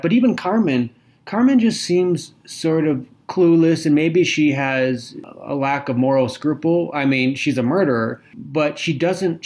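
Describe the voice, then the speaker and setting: Guy on a book podcast, mid-pitch voice, breaks down characters carefully, a few uhs. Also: a little mellow. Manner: articulate, analytical, with occasional hesitations. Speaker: podcast co-host. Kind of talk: casual book discussion.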